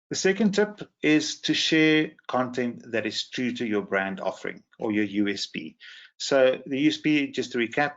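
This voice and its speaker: A man with a croaky voice presenting a social media training webinar, hesitant with many fillers. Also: mid-range voice. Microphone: video call. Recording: muffled video call audio.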